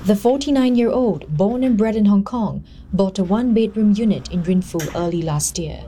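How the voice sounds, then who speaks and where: A woman reading scripted news narration in a measured, even way. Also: medium pitch, slight background noise.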